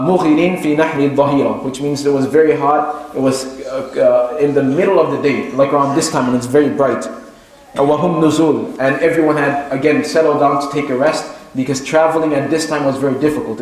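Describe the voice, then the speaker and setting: A man giving a religious lecture as a teacher, reading Arabic text and explaining it as a story in halting, hesitant English. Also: medium pitch, steady tone. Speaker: Islamic teacher giving a lesson. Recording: very echoey, reverberant room.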